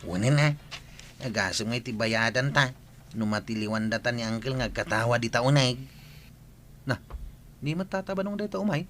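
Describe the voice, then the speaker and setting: Comedy skit, faint background noise. Male actor as cheeky arguing villager, animated pitch, bright edgy voice. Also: moderate pace, pauses often, lightly acted. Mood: teasing.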